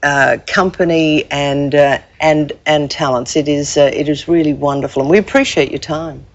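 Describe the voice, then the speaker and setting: A woman's voice: sits low, steady tone, moderate pace. A middle-aged woman with an Australian accent, hosting and interviewing on a morning television show. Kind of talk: TV presenting and interviewing. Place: TV studio set. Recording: dull lo-fi VHS television recording.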